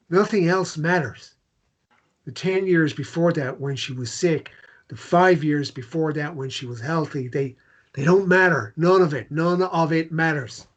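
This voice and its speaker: A man acting a guilt-wracked, volatile grieving widower at a table read, halting and repeating his phrases. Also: a little high. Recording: dull lo-fi sound.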